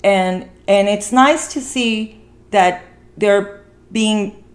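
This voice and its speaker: A woman's voice: medium pitch, extremely slow. A woman hosting a casual movie review talk, speaking earnestly and repeating phrases for emphasis. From Mexican heritage. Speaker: talk show host.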